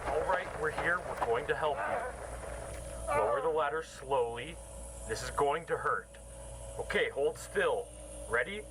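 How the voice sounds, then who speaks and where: Steady rescue leader, slow, commanding, lifted pitch, loud tape noise. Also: male voice. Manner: calm, commanding.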